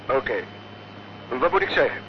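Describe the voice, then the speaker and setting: An actor playing a wry, nosy, work-hungry private detective in a radio drama, with an informal manner. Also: male voice, fairly high pitch, lively intonation, moderate pace, lightly acted. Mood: resigned, wry. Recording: very noisy, muffled old radio play recording.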